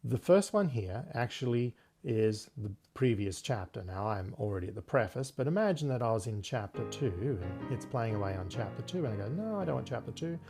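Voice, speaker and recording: A man hosting a software tutorial, explaining things step by step in a conversational way. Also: medium pitch, sing-song, brisk, gravelly, few pauses, soft background music. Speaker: host of tech tutorial series.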